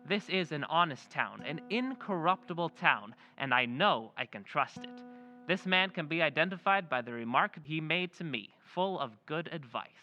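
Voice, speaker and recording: Actor as a mysterious stranger in a radio play, formal and measured, bright tense voice, a bit high. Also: male voice, lively intonation, faint music behind, full character voice. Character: mysterious male stranger dictating a letter, formal. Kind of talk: old-time radio play acting.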